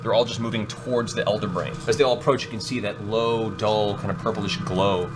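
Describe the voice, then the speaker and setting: A man narrating a tabletop game as game master, in a theatrical, descriptive way with dramatic pauses. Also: fairly low pitch, fairly even intonation, noisy background. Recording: music behind. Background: background music.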